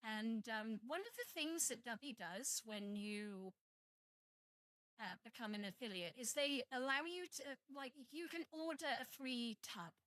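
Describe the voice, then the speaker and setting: A woman's voice: fairly high pitch, measured pace, a bit bright, no room sound. A young British woman with a British accent, chatting casually and joking with viewers on a live stream, swearing freely. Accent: British English. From Britain, half Welsh and half English.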